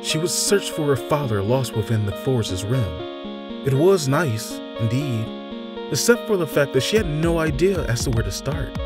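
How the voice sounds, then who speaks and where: A man narrating a scripted fantasy story in a measured, deliberate, theatrical storytelling manner. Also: medium pitch, expressive. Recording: music behind the voice. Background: background music.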